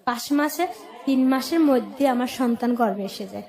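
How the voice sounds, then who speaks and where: A woman's voice: a little high, moderate pace, a little mellow. A woman with a Bangladeshi accent telling her personal life story as a radio guest, in plain colloquial short phrases.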